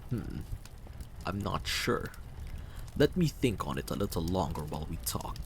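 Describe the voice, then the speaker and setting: A man voicing a reserved, muscular man near fifty who speaks in a dry, measured, slightly formal way for a story game. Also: sits low, very animated, gravelly, slight background noise, exaggerated voice. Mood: dryly amused.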